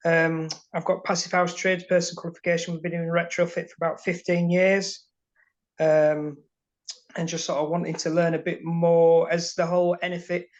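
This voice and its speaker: A man's voice: on the high side, little pitch movement, normal speed. A man, a builder, talking earnestly and rambling a bit about his frustrations on a webinar video call. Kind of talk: casual chat on a webinar call. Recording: muffled video call audio.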